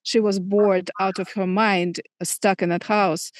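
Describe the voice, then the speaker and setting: A middle-aged woman from Moscow with a Russian accent, a native Russian speaker, answering interview questions thoughtfully with hesitant pauses. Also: mid-range voice, level tone, dry recording. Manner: thoughtful, many fillers and restarts. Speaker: filmmaker guest.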